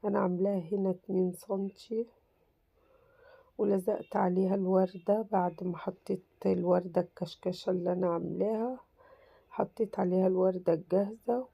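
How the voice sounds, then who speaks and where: A woman with an Egyptian Arabic accent, hoarse from a cold, casually talking through a simple craft tutorial and reassuring viewers that it is very easy. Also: lowish voice, fairly even intonation, brisk, no room sound, muffled recording. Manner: chatty, reassuring, repeats "very easy". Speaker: crafts channel host.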